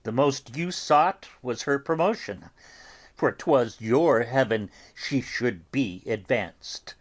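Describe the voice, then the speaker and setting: A man playing a stern, pious friar in a dramatic Shakespeare audiobook, sermonizing deliberately with long pauses. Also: medium pitch. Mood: stern consoling. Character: stern, pious friar admonishing and consoling mourners.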